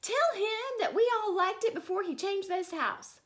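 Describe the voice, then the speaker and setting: A woman voicing a crowd of prim, scandalized neighbors, shouting in high, indignant exclamations. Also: lively intonation, a little slow, exaggerated voice. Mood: outraged.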